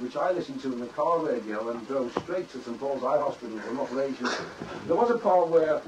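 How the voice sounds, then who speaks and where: A man's voice: mid-range voice, a little mellow, far from the mic, slight background noise, rough recording. A man interviewing guests as a local radio host.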